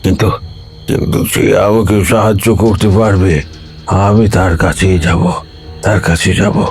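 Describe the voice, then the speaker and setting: An old village priest, low and gravelly, speaking with halting repetitions. He is devout, protective and anguished, a figure from an audio horror drama with music underneath. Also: steady tone. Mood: bitter resolve. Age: older. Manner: slow, halting, repeats words in anguish.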